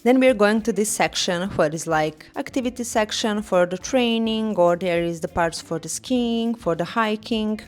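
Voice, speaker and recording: A woman's voice: mid-range voice, normal speed, few pauses. A young woman speaking English as a non-native speaker, narrating a vlog voice-over of a store walkthrough with small grammar slips and fillers. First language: not English; Swedish is her third language. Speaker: vlogger. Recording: voice-over with faint music behind.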